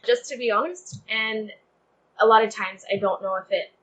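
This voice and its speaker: A woman's voice: on the high side, moderate pace, fairly bright tone, slight background noise, rough recording. A young woman from Texas speaking earnestly and conversationally as an interview guest, often saying "like" and "you know". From Texas, United States.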